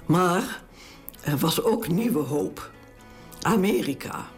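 A woman's voice: on the low side, lively intonation, takes it slowly, faint music behind. An actress playing a stubborn elderly queen in a radio drama, dignified and given to emotional exclamations. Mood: reminiscing. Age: older. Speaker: narrator and lead in radio drama.

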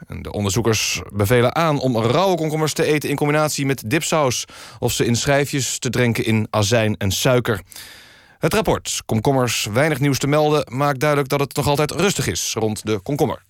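Deadpan male radio host, dry studio sound. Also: mid-range voice, normal speed, dry recording. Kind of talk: mock-serious satirical news presenting.

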